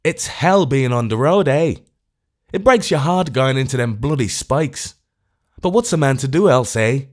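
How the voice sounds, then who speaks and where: A man's voice: medium pitch, expressive, measured pace, voice pushed up, close to an everyday voice. A man voicing a self-pitying, middle-aged Irish tramp who whines in an Irish accent. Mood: whining.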